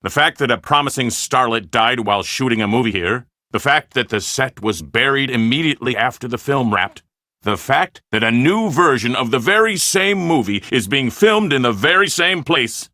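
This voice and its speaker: A man's voice: medium pitch, expressive, moderate pace, pushed and bright, dry recording, studio quality. A man playing a scheming, self-important film producer in a video game, speaking in a formal, emphatic, theatrical way. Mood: grandly persuasive.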